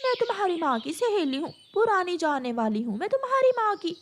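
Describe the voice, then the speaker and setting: Sly cartoon crow auntie done by a woman, high voice, coaxing, falsely friendly, lively intonation. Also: a little fast, a little hiss or hum. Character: sly, coaxing female crow who lures young birds. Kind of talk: children's cartoon acting.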